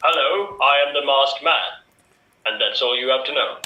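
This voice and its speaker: A man's voice: medium pitch, normal speed, bright, forceful tone, dull audio. A man playing a cagey, smug masked man on a video call, in a roomy space. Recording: roomy distant mic.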